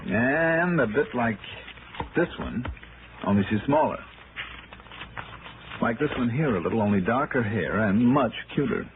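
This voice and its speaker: An actor playing a stubborn, wry insurance investigator in a 1960s radio noir drama, brisk and insistent. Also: male voice, medium pitch, animated, noisy background. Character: stubborn, wry freelance insurance investigator, hard-boiled and persistent. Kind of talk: 1960s radio noir acting and first-person narration. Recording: tinny narrow-band old radio broadcast, music.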